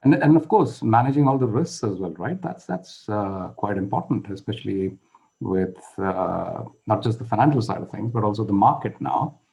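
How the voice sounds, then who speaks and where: Male podcast host, somewhat low-pitched, frequent short acknowledgements. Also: lively intonation, moderate pace, gravelly, a little mellow, rough recording. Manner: frequent brief backchannels. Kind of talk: interviewing.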